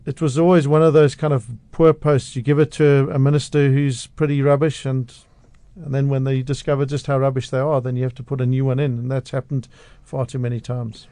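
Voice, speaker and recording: A man from South Africa gives his views as a radio studio guest. His voice is mellow and the sound is dry and close. He speaks with conviction and often restarts sentences. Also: mid-range voice, level tone, a little fast, smooth, no echo. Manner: passionate, outspoken, with frequent restarts. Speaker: radio guest, media analyst. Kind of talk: radio interview. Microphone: studio microphone.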